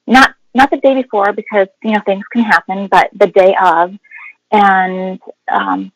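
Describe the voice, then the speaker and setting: A woman with a mid-pitched voice gives an instructive, explanatory presentation as a guest expert. She leans on key words, and the sound is dry with no room echo.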